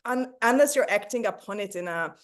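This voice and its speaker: A Hungarian woman hosting a casual panel discussion, speaking enthusiastically about films with quick asides. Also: medium pitch, lively intonation.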